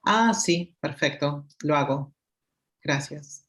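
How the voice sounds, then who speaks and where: A woman's voice: sits low, expressive, takes it slowly, a bit bright, breathy edge. A woman from Argentina, a government undersecretary, speaking courteously and apologetically on a call.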